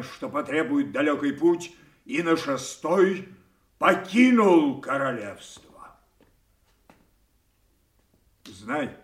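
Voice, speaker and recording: Proud wrathful old king, slow and echoing. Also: medium pitch, fairly bright tone. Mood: stern, wrathful. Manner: grand, commanding, declamatory. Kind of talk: theatrical Russian audio drama acting. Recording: roomy echo, somewhat distant from microphone.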